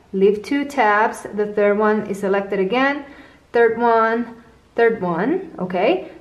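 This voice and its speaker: A woman hosting a tutorial, patiently explaining each step as she works on a tablet. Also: fairly high pitch. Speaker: tutorial host. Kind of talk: step-by-step app tutorial narration. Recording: roomy echo.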